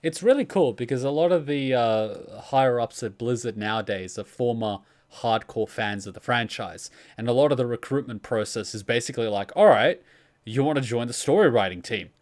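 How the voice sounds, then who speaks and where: A man's voice: medium pitch, animated, moderate pace. A man explaining video games in casual, informal chat, with some swearing.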